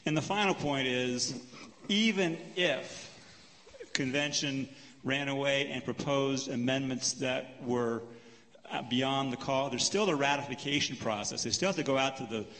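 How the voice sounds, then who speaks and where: A man moderating a lecture Q&A, paraphrasing a question and answering it in a measured, explanatory way, counting off his points. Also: medium pitch, fairly bright tone. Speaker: panel moderator. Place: lecture hall. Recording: long hall reverb.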